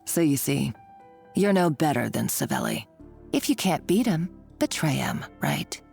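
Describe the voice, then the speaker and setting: A woman's voice: low voice, wildly swooping pitch, unhurried, gravelly, darkish tone, heavily acted. An actress playing a gloating, scheming female villain in a video game, theatrical and sardonic, taunting as she talks. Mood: accusing. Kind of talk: dramatic video game voice acting. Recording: game dialogue over background music.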